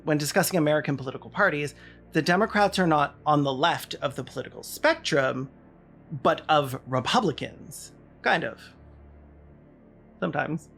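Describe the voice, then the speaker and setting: Video essay host, mid-pitched, sardonic and emphatic scripted commentary over light music. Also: fairly bright tone.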